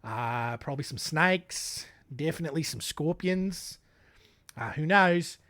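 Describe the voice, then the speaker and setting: A man's voice: on the high side, expressive, a little slow, a bit bright, dry recording. A man telling crime stories for laughs in a shaky put-on Australian accent, rambling into self-mocking asides. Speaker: storyteller. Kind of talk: comedic true-crime retelling.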